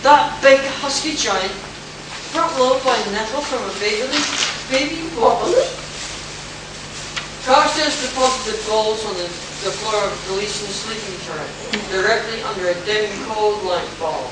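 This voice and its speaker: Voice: medium pitch, unhurried. Heard from far off in a reverberant, noisy room, a narrator reads a pulp adventure script live. The delivery is dramatic, with occasional stumbles over the words. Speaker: narrator of a radio play. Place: live convention stage in echoey room. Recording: very echoey hall, far-off mic, clear noise.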